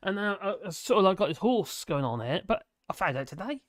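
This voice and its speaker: A hoarse live streamer, rambling in casual chat to viewers and making fun of themselves. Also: medium pitch, very animated, normal speed, no room sound. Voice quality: rambling, self-deprecating.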